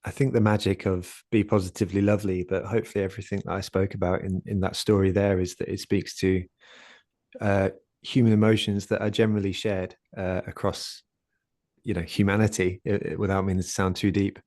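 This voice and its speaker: A man speaks quietly over a video call, his low, warm voice hesitant and full of restarts and fillers. The sound is close and dry. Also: little pitch movement, brisk, no room sound. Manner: soft-spoken, hesitant, lots of fillers and restarts. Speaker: guest speaker, community founder. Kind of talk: conversational talk in an online session.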